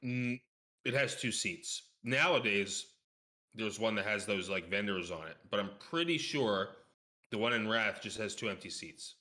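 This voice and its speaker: A man's voice: lowish voice, expressive, moderate pace, sharp tone. A man in a casual gaming podcast conversation, explaining details in an informal, knowledgeable way. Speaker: podcast guest.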